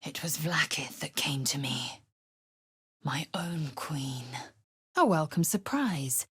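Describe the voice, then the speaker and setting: An actress playing a fierce, imperious alien warrior woman in a video game, husky, clipped, commanding and disdainful. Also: deep voice, lively intonation, slow pace, a bit bright, dry recording, clean studio sound, full character voice. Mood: hushed, reverent. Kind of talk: video game character voice lines.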